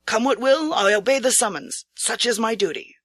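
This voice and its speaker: A woman's voice: a little high, moderate pace, a bit bright, no echo, clean studio sound. A woman voicing a poor but proud male samurai knight in a storybook, speaking earnestly and formally. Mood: resigned. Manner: earnest, formal, solemn speech.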